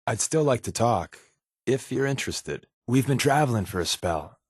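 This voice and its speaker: An actor playing a wry, secretive spy and loyal wisecracking companion in a video game, talking in casual, conversational asides. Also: male voice, medium pitch, expressive, normal speed, husky, no room sound, studio quality. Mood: easygoing, casual.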